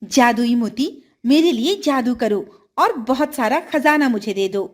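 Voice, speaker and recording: A greedy storybook stepmother with animated, swinging intonation, played by a woman narrator. She is coaxing and eager on the surface, calculating underneath. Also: on the high side, measured pace. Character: greedy, scheming stepmother of a young boy. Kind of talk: children's storybook dialogue.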